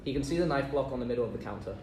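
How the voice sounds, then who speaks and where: A man's voice: medium pitch, expressive, rapid-fire, a little mellow. A man reading stage directions aloud from a script. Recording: echoey, distant room with noise.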